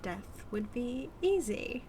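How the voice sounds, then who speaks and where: A woman's voice: fairly high pitch, big pitch swings, mellow, a little hiss or hum. A woman with a British accent acting as a smug female supervillain who mocks and teases her hero nemesis in a theatrical, drawling audio roleplay monologue. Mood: menacing, mocking. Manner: mocking, theatrical, drawling with drawn-out words.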